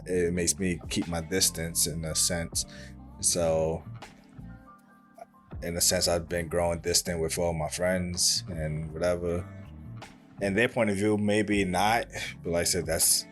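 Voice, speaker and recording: Calm, soft-voiced young man musing. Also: sits low, takes it slowly, soft background music. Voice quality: soft, calm voice that does not project. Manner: rambling, thoughtful, many fillers and restarts. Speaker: podcast host. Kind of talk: reflective solo podcast monologue.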